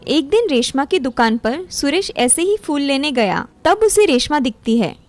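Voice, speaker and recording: A woman's voice: high-pitched, very animated, normal speed, soft background music. A woman reading a scripted moral story as its narrator.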